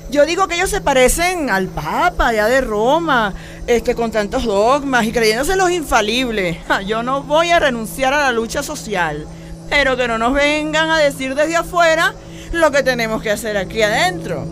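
A woman's voice: high voice, animated, moderate pace, fairly bright tone, soft background music. An actress playing an outspoken Venezuelan woman activist in a radio drama, emphatic, wry and colloquial. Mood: defiant.

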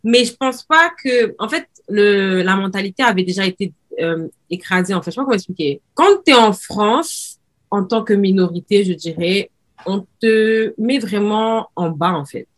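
Animated young woman podcast host. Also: mid-range voice, normal speed. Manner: animated, emphatic, repeats words. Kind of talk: casual chat.

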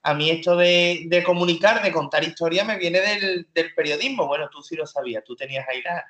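A man's voice: on the high side, a little fast. A man, a comedian telling jokey, self-deprecating stories as a guest in a casual interview. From Spain. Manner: joking, self-deprecating, animated storyteller. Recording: roomy, dull lo-fi sound.

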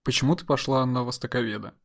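A man's voice: mid-range voice, fairly even intonation, fast, creaky, a bit bright. Teasing man asking casual questions. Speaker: host. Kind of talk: casual interview chat.

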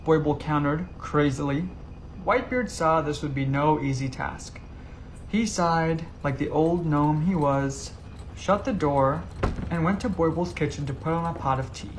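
A man's voice: fairly high pitch, unhurried, a little hiss or hum. A man narrating a scripted whimsical story as a reader.

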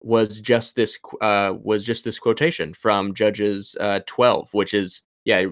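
A man's voice: lowish voice, flat delivery, slow pace, a bit bright, dry recording, clean studio sound. A young man, a doctoral student, talking as a podcast guest, thinking aloud with frequent ums and restarts. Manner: thoughtful, many ums and restarts. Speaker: podcast guest, PhD candidate. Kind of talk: casual interview chat.

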